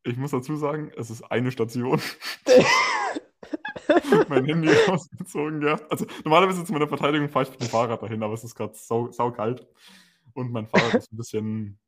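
A man's voice: mid-range voice, very animated, moderate pace, a bit bright. A young man co-hosting a podcast, chatting casually in an informal, slangy way. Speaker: podcast co-host. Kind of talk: casual chat.

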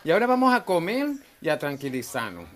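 Man acting folksy, high-pitched Venezuelan bar boss. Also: wildly swooping pitch, brisk, fairly bright tone. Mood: hearty, bossy. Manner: folksy, colloquial, exclamatory. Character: jovial, bossy Venezuelan bar owner, affectionate busybody. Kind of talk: humorous radio soap acting.

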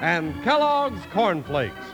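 A man's voice: high voice, sing-song, slow pace. An American man announcing credits and sponsor messages in a polished, emphatic 1950s radio style. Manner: polished, emphatic sales delivery. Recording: old radio broadcast recording. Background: music.

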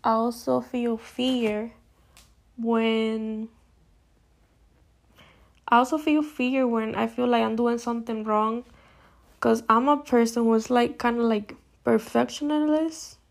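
A woman's voice: fairly high pitch, steady tone, slow, gravelly, pauses often. Hesitant young woman. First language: Spanish. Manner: hesitant, searching for words. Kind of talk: casual talk about fears.

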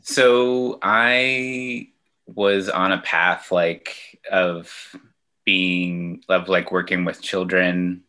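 A man from Ontario, a children's musician, answering interview questions in a relaxed, hesitant way with many fillers and restarts. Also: deep voice. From Ontario, Canada. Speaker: children's musician and outdoor educator.

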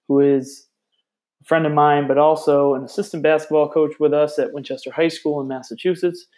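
A man's voice: medium pitch, darkish tone. A man hosting an interview show, introducing his guest and asking questions. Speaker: podcast host, interviewer.

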